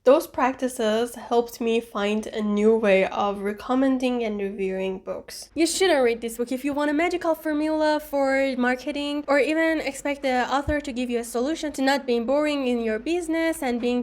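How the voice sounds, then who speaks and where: A young woman whose first language is Persian, narrating her own vlog in an earnest, chatty way. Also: high-pitched. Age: young adult. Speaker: vlogger. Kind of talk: reflective vlog narration with old clip inserts.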